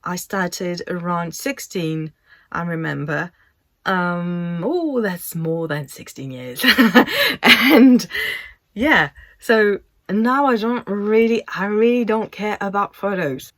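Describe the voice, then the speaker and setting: Slow-talking French woman vlogger. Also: mid-range voice, lively intonation, no room sound. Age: 30s. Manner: slow, reflective, trails off and restarts. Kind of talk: casual talk to camera.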